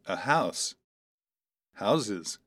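A woman reading model dialogues and word drills for a language course, with careful, clear articulation. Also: rumbling low, very animated, extremely slow.

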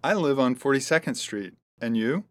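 A woman reading model dialogues and word drills for a language course, with careful, clear articulation. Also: very deep voice, expressive, moderate pace.

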